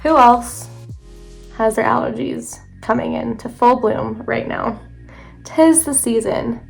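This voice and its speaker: Young woman beauty vlogger, rambling and chatty, slightly stuffy nose, fry in a higher voice, soft music under. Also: takes it slowly, low-quality recording. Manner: chatty, rambling, self-correcting. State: congested from allergies. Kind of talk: chatty makeup tutorial.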